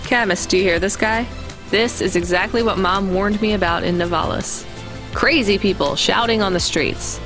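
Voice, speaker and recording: A woman's voice: medium pitch, normal speed, over music, lightly acted. A woman playing a wry, conscientious young delivery driver in naturalistic, conversational video game dialogue. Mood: wry annoyance.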